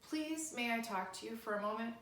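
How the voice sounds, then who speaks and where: A woman's voice: on the high side, a little fast, a bit bright. An earnest, wide-eyed little schoolgirl from a children's storybook, voiced by a woman reading aloud. She sounds anxious and pleading, with hesitant insistence, in a roomy, echoing space. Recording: roomy, echoey indoor sound.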